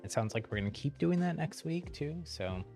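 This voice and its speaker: A man's voice: fairly high pitch, very animated, brisk, creaky, darkish tone, faint music behind. A man livestreaming a video game with casual, chatty, informal commentary. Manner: informal, often chuckles between remarks.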